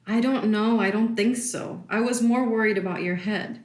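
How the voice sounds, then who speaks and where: A woman acts a middle-aged mother in a roomy, echoing space, her voice a little high. She is protective and concerned toward her grown daughter. It is played as naturalistic audio drama. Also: normal speed, lightly acted. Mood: puzzled, apologetic. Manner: concerned, slips into Spanish endearments and phrases. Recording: roomy indoor echo.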